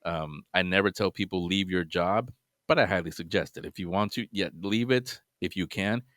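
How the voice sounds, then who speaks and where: A man's voice: low voice, fairly bright tone, no room sound, studio quality. A man guesting on a podcast, giving earnest advice in casual chat, often restarting his sentences.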